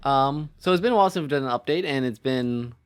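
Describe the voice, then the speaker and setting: Male podcast host, average pitch, informal and chatty, unscripted delivery. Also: quick pace, smooth, faint music behind. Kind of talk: casual, unscripted podcast chat.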